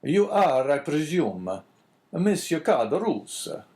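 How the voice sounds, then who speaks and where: A man reading an audiobook, voicing a grave, searching priest with an Italian accent who asks measured, probing questions. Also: fairly high pitch, big pitch swings, a little mellow, voice pushed up. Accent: Italian, put on. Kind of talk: audiobook character voice.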